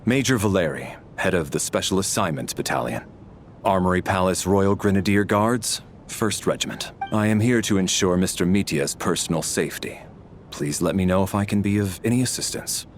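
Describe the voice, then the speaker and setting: A man's voice: low voice, normal speed, a little hiss or hum. A man voicing a stern, formal military officer in a game. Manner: stiff, formal, by-the-book. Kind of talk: game voice acting.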